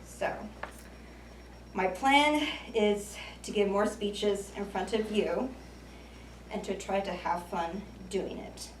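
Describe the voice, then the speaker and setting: A woman's voice: medium pitch, slow, slight background noise. A woman in her late twenties giving a prepared, self-deprecating speech with light jokes at a speaking club. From United States. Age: 20s. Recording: echoey room from far off.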